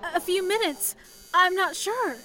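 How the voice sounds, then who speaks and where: A woman's voice: very high-pitched, a little slow, bright, forceful tone, exaggerated voice, low-quality recording. A woman playing an anxious young switchboard operator in an old-time radio play, hesitant and deferential. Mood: panicked, urgent. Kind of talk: 1930s-style radio play acting.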